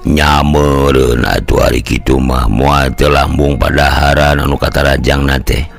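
A man with a Sundanese accent voicing a gruff, opinionated older village elder who explains things in an audio folk story. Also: very deep voice, little pitch movement, unhurried, soft background music, pitched below the performer's own voice, exaggerated voice. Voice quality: gravelly.

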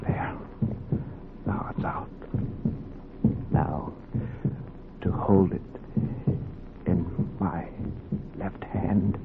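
An actor playing a steady, commanding surgeon in a 1940s radio drama, giving terse, measured orders. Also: male voice, low voice, creaky, full character voice. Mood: tense, concentrated. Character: commanding, steady male surgeon mid-operation. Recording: tinny, noisy 1940s radio recording.

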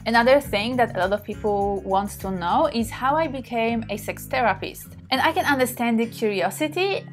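A woman in her thirties from Poland, speaking English with a Polish accent as a native Polish speaker, chatting openly to camera from her bedroom and laughing often. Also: fairly high pitch. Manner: warm, open, laughs often, gestures while talking. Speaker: sex therapist, channel host. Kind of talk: casual to-camera talk. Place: small bedroom, indoors.

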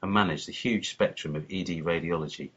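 A man with a very low, husky voice gives a scripted promotional narration as a course lead. His delivery is formal and measured, and the recording sounds muffled and lo-fi. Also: a bit bright. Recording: muffled lo-fi voice-over.

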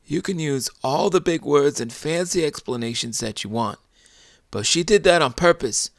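A man's voice: fairly high pitch, moderate pace, no room sound, pitched above the performer's own voice, natural voice. A man voicing a whimsical, confident animal puppet sidekick in children's banter. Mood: wonder, stubborn.

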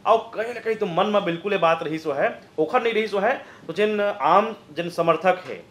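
High-voiced Chhattisgarhi man, explaining. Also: normal speed, a bit bright, reverberant. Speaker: news presenter and political analyst. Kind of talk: conversational political commentary. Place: indoor news studio, roomy sound. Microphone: lapel mic.

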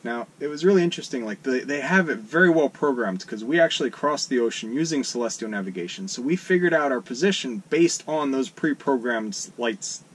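A man in his thirties, a sailing vlogger, chatting in a mock-earnest, deadpan way from a small wooden boat cabin. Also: medium pitch, brisk, few pauses, husky. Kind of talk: deadpan satirical chat. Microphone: camera in front at close range.

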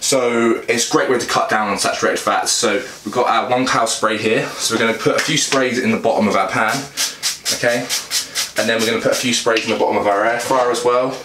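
A man's voice: medium pitch, fairly even intonation, fairly quick. A young man with a British accent casually explains cooking to camera in an informal, plain-spoken way as a fitness vlogger. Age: young adult. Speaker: fitness vlogger and meal prep host. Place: small home kitchen, indoors. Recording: echoey kitchen, clear background noise.

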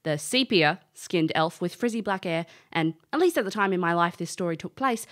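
Cheeky, lowish-voiced elf adventuress played by an actress. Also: female voice, expressive, normal speed, fairly bright tone. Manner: informal, brash. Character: cheeky, breezy female elf adventurer recalling her youth. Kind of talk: audio drama narration and dialogue.

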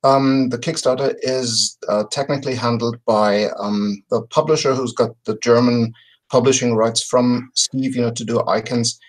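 Measured man on a video call. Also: medium pitch, steady tone, low-quality recording. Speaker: podcast guest. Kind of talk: casual explanatory storytelling. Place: home room with bookshelves. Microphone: video call, webcam distance.

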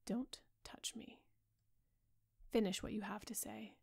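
A woman reading the part of an older male painter, speaking gravely and earnestly as he pleads with his friend. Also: mid-range voice, steady tone, slow pace, warm tone, whispered, exaggerated voice. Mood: shocked.

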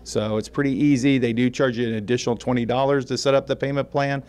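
A man's voice: mid-range voice, steady tone, fast, a little mellow. An American man in his fifties explaining things informally as an interviewed school counselor. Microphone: handheld interview microphone.

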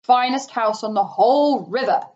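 A woman's voice: a little high, sing-song, normal speed, highs cut off. A woman voicing a boastful, impulsive male toad in a children's story, gushing and exclaiming grandly.

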